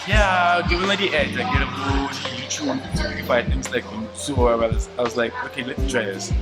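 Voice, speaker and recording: Rambling young man over loud music. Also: mid-range voice, moderate pace. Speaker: interviewee. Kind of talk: casual street interview.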